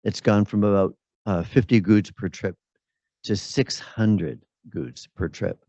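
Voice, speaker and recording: A man with a very low voice shares remarks at a symposium, thinking aloud as he goes. His speech is unscripted and halting. The sound is dry and dull, with a lo-fi finish. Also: mellow, dry recording. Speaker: birth center operator, symposium participant. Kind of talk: spontaneous comment at a symposium. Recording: dull lo-fi audio.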